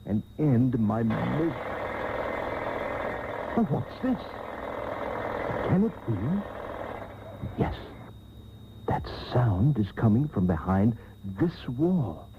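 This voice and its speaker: An actor playing a vain, self-pitying rich heir held captive, declaiming melodramatically in a parody radio soap. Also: male voice, on the low side. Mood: wondering, intent. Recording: tinny, hissy taped radio broadcast.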